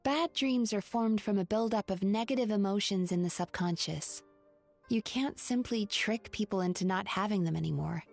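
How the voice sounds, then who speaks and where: A woman playing a composed young dream therapist in a video game, measured, clinical and articulate. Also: medium pitch, gravelly, soft background music. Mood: composed, pensive.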